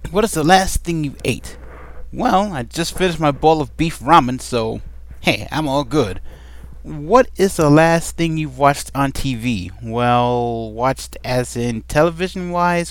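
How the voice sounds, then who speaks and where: Man, casual home vlog, mid-pitched and rambly, dry close mic. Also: smooth, dry recording. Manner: rambling and playful, with many ums. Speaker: vlogger answering a question tag. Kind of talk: casual chat. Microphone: desk microphone.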